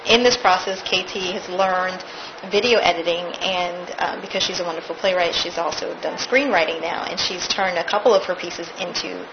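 A woman's voice: fairly low pitch, fairly even intonation, moderate pace, hardly any pauses, lo-fi sound, noisy background. Actress telling her stage story. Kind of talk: casual interview.

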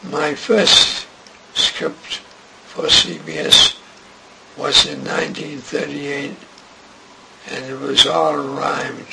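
Slow gravelly older man reminiscing. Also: fairly high pitch, flat delivery. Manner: slow, halting, with many restarts. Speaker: interviewee, radio writer and director. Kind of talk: reminiscing interview. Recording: noisy recording.

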